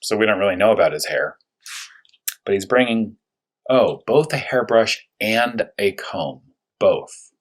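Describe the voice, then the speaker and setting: A man with a low, husky voice speaks as a primary school teacher during a story read-aloud. He explains things simply for young children, wonders aloud in questions, and draws out important words for emphasis. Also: lively intonation, measured pace. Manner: thinks aloud with rhetorical questions. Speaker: first-grade teacher reading a story aloud.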